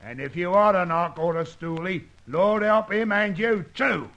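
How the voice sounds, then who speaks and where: A menacing gang boss from an old radio detective serial. His voice is fairly high, hard and forceful. He blusters and bullies in rough underworld slang. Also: measured pace, highs cut off. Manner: bullying, rough slangy talk. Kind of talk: old-time radio detective drama acting.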